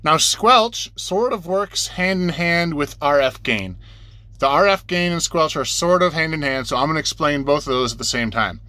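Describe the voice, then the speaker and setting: A man's voice: on the high side, expressive, normal speed, sharp tone, dry recording. A man giving a casual, off-the-cuff tutorial as an instructor, explaining things plainly step by step and repeating points for beginners.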